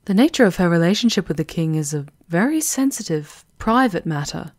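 A woman playing a shrewd, composed High Enchantress in a fantasy ASMR roleplay, with a measured, knowing, formal manner. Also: animated, no room sound. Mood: grave, confiding. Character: shrewd, composed female High Enchantress advising a vampire countess.

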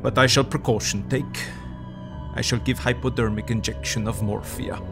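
A man playing a grave old professor in an audio drama. His voice creaks with gravel shapes halting, emphatic phrases. Light music plays behind him. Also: medium pitch, fairly even intonation, lightly acted. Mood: cautioning. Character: grave, urgent older Dutch professor and doctor.

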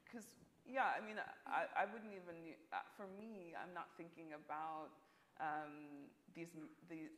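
A woman artist on a panel, speaking in a halting way as she searches for words. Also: low voice, fairly even intonation, a bit bright. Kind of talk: panel discussion. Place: stage of a large auditorium. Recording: echoey auditorium sound.

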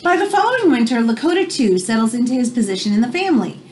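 Expressive, high-voiced woman reading aloud. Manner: expressive, teacherly, pausing to explain words. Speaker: children's picture book reader. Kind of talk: read-aloud storytelling with explanatory asides for children. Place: small room indoors at home. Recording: home recording with background noise.

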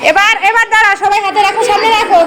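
A woman's voice: very high voice, level tone, very fast. A woman with a Bangladeshi accent, a schoolteacher chatting casually with young children, coaxing and directing them and often asking questions. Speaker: schoolteacher hosting a class party. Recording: noisy room with children's chatter.

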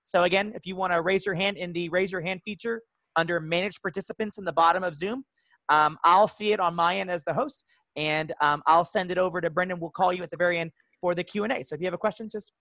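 A man's voice: sits high, fairly even intonation, a little fast, gravelly, pushed and bright, no room sound. Male webinar moderator, casual delivery, dry recording. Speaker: moderator of online session. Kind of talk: informal webinar housekeeping. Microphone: video call.

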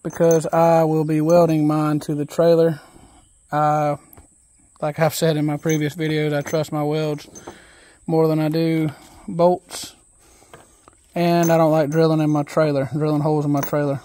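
A man casually walking through an assembly job outdoors, reading steps aloud and thinking out loud in a relaxed, folksy way, recorded on a phone camera held close. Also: a little high, unhurried, a little hiss or hum. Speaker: DIY video host. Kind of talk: casual step-by-step assembly walkthrough. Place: outdoors on a wooden deck.

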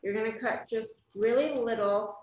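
A woman's voice: on the high side, moderate pace, darkish tone, reverberant, dull audio, slight background noise. An American woman in her thirties giving plain, simple step-by-step craft instructions as host of a children's video, recorded at home across a table. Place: small room at home, indoors.

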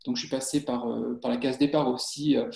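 A man in his forties from France, hosting a live job-search webinar on a webcam, halting with many fillers and restarts. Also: medium pitch, level tone, creaky, warm tone. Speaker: webinar host, job site co-founder. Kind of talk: hesitant live webinar talk. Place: office with a printed wall behind. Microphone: webcam on a video call. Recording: echoey room on a webcam.

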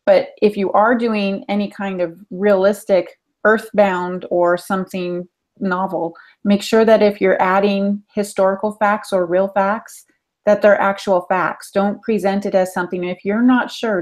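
Middle-aged woman, mid-pitched, explaining conversationally. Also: steady tone, a little slow. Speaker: podcast panelist, editor. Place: room at home, video call.

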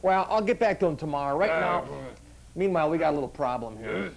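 A man's voice: a little high, lively intonation, fast, gravelly, crowd noise. A young man playing a falsely cheerful, menacing janitor who hosts a parody children's puppet show, talking in a coaxing, patronizing way. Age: young adult. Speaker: host. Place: small indoor puppet-show studio set.

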